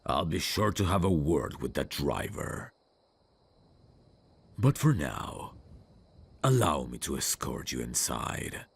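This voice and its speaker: Very deep, gravelly vampire count. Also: male voice, big pitch swings, exaggerated voice. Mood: gallant, insistent. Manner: slow, courtly, old-fashioned, intimate phrasing. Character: suave male vampire count, courtly, seductive, quietly menacing. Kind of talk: ASMR roleplay acting. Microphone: close dry studio mic.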